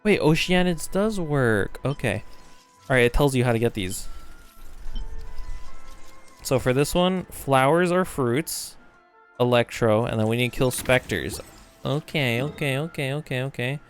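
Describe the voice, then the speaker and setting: A man's voice: mid-range voice, animated, unhurried, pauses often, smooth, faint music behind. A man giving casual, sweary game commentary, often muttering to himself and exclaiming. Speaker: game streamer.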